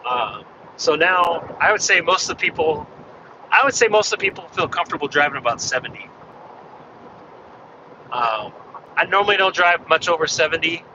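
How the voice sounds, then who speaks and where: A man slightly hoarse from losing his voice, chatting in a rambling way while driving. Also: a little high, animated, normal speed, long pauses. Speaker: ham radio vlogger. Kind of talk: casual chat while driving. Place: inside a car on a freeway. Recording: dull muffled car recording with road noise. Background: road and engine noise.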